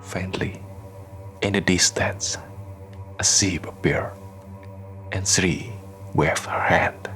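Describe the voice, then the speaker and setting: Deep, slow male drama narrator. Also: fairly even intonation, music in the background. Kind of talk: scripted radio drama narration.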